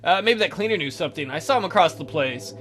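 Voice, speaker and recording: A man playing a polite, awkward detective who narrates his murder case in a measured way for a visual novel game. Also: a little high, animated, fairly bright tone, slight background noise. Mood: inquisitive.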